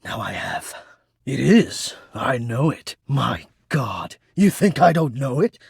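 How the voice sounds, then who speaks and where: An actor playing a shy, devoted, lovestruck male air-vent spirit in a romance video game, with breathy, formal, florid and earnest delivery. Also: medium pitch, big pitch swings, takes it slowly, no echo, clean studio sound, heavily acted. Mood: overwhelmed, agitated.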